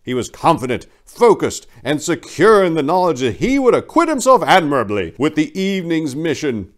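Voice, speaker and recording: A man's voice: on the high side, very animated, moderate pace. A man in his forties reading a story in a theatrical, mock-grand, hammy manner. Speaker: dramatic reader, channel host. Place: small indoor room lined with bookshelves. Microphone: lapel mic.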